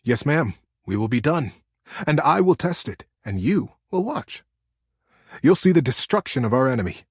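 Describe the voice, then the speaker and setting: A man's voice: medium pitch, big pitch swings, normal speed, husky, no echo, studio quality. A man voicing a solemn, proud beaver inventor who speaks in a terse, resolute, formal way. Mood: solemn resolve.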